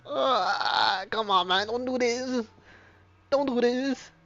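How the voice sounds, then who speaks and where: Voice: mid-range voice, slow, a bit bright, highs cut off. A livestreamer reacting casually to a game, exclaiming in colloquial language.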